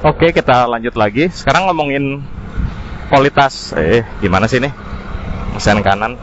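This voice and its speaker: A man talks casually, as a motovlogger does, at a medium pitch, dropping in English terms. The sound is a dull helmet intercom recording, with faint traffic noise behind him as he rides outdoors. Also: moderate pace. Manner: informal, mixes in English terms. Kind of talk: casual product review vlog while riding. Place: outdoors, riding a scooter in city traffic. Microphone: helmet intercom mic. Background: faint traffic and engine noise.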